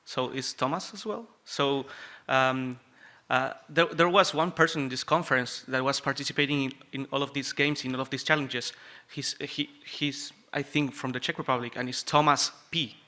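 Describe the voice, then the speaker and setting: A man's voice: mid-range voice, fairly even intonation, normal speed, pushed and bright. A young man from Uruguay with a Uruguayan Spanish accent, a native Spanish speaker, giving a chatty conference talk full of fillers and restarts. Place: conference venue with audience.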